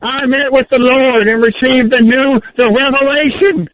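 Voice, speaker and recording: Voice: sits high, steady tone, moderate pace, a bit bright, no room sound. A caller with a grating voice ranting theatrically in an indignant, pompous, preachy way, speaking in the third person over a phone line. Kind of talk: theatrical fire-and-brimstone rant in the third person. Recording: dull lo-fi phone call.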